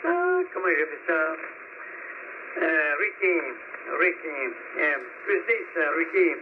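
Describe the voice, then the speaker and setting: A man's voice: a little high, big pitch swings, slow. A man giving casual signal reports as an amateur radio operator, rambling and correcting himself, heard over a radio transmission. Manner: rambling, hesitant, self-correcting. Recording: narrow, noisy shortwave radio signal.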